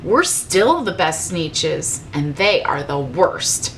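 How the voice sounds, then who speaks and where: A woman voices snooty, indignant creatures from a storybook. They speak slowly in a haughty groan, convinced of their superiority, over a slightly noisy recording. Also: sits low, big pitch swings, a bit bright, pitched below the performer's own voice, full character voice. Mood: indignant, dismayed. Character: snooty star-bellied Sneetches, indignant superior beach creatures.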